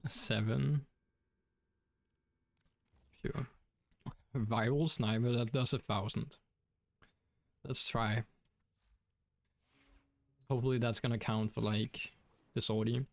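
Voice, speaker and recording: A man's voice: medium pitch, steady tone, takes it slowly, creaky, long pauses, no room sound. A young man from Denmark with a Danish accent, chatting casually while streaming a game, rambling with many fillers. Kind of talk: casual gaming stream chat.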